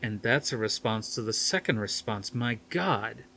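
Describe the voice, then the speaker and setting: A man's voice: medium pitch, expressive, measured pace, bright, forceful tone, a little hiss or hum. A man reading a novel aloud as a blunt, sardonic, skeptical male scientist with dry delivery.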